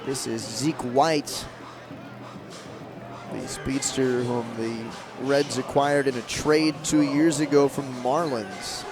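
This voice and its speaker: A man doing live radio play-by-play of a baseball game, describing the action in short, clipped, measured phrases. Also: medium pitch, fairly even intonation, over music. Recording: clear outdoor ballpark noise behind.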